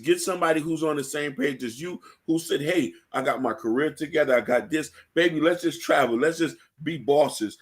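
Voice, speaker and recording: A man's voice: a little high, a bit bright. A man hosting an opinionated talk show, emphatically putting rhetorical questions to listeners. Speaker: podcast host.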